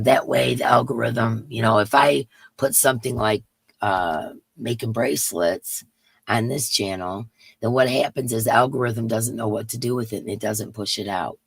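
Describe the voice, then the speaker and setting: A woman with a raspy voice hosting a casual livestream in her yarn room, greeting chat viewers by name in a sing-song way and sounding emotional. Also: extremely low pitch, normal speed, dry recording. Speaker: livestream host.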